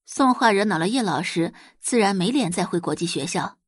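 Expressive, lively woman audiobook narrator. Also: medium pitch, a little fast, husky, dry recording, studio quality. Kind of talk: scripted dramatic narration.

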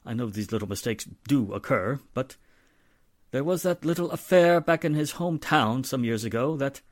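An actor playing a middle-aged, polite and hesitant wealthy father with a measured manner in a 1940s-style radio drama. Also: mid-range voice, expressive, no echo, studio quality, close to an everyday voice. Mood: hesitant, insinuating.

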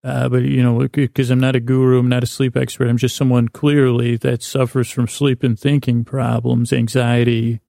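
A man with an American accent and a creaky, gravelly voice delivers a meandering bedtime monologue as a podcast host. His tone is dark and relaxed, with very little rise and fall in pitch. He stumbles, restarts and interrupts himself as he wanders along. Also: dry recording, clean studio sound. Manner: meandering, halting, with stammered false starts and self-interruptions. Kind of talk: rambling bedtime monologue to help listeners sleep.

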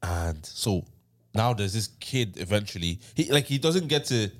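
A man's voice: on the low side, expressive, measured pace, no echo. A man casually telling a story on a podcast, rambling with fillers and restarts. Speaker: podcast host. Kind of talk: casual chat.

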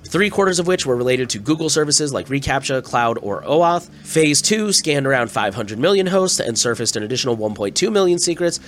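A man's voice: medium pitch, expressive, fairly quick, hardly any pauses. A man hosting a news podcast, a little scratchy and stuffy-nosed from a cold, reading stories with casual asides. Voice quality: slightly scratchy. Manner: plain, conversational, with asides. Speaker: podcast host.

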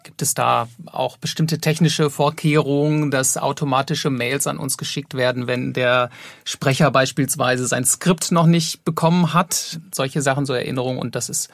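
A man with noticeable creak in his voice talks conversationally as an audio producer being interviewed. His pitch sits in the middle range for a man. The sound is dry, with very little room. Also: steady tone, normal speed, a bit bright, dry recording. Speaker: audio drama producer and composer.

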